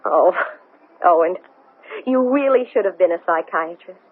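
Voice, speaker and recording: A woman's voice: fairly low pitch, lively intonation, lightly acted. Tinny old-radio actress as a restless woman astronaut. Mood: earnest, excited. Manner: agitated, halting, stammering speech. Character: famous woman astronaut, restless, anxious, strong-willed. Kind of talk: 1970s radio drama acting. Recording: noisy, thin, phone-like old radio broadcast.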